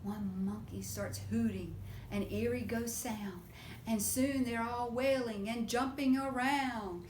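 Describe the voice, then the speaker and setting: High-voiced woman reading slowly to preschoolers. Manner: animated, asks young listeners questions. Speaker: preschool storytime reader. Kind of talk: expressive picture-book read-aloud for young children. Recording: roomy echo with faint low rumble.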